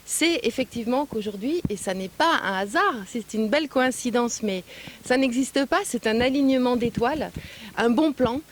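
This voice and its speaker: A woman speaking as an official at a public event, phrasing deliberately with frequent hesitant pauses, recorded on location. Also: a little high, a little hiss or hum. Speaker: European Union official giving a speech and interview. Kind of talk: public speech and press remarks.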